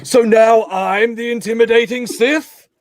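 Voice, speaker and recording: A man's voice: extremely high pitch, fairly even intonation, measured pace, dry recording, clean studio sound. A man voicing a male alien Sith villain, theatrical and petulant, in a comedic sci-fi table read.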